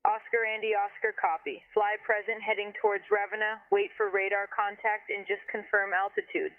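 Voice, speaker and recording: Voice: mid-range voice, flat delivery, fairly quick, dry recording, phone-quality sound. An air traffic controller giving clipped, procedural instructions in radio phraseology over an aviation radio link.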